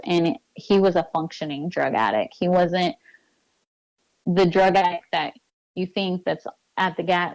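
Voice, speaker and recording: Woman, interview guest, slightly low pitch, dry sound, earnest, insistent, personal storytelling. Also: darkish tone, no echo, low-quality recording. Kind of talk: personal account in a podcast interview.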